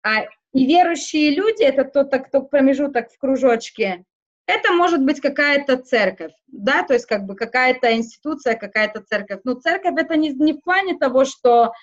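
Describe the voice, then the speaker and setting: A woman whose first language is not Russian, speaking haltingly in a casual interview, with many fillers and restarts. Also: high-pitched, lively intonation, dull audio. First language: not Russian; schooled outside Russian. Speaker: interview guest.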